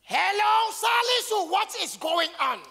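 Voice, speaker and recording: A woman playing an agitated, excitable stage character, shouting in an echoey theatre hall. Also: very high voice, measured pace, gravelly, exaggerated voice.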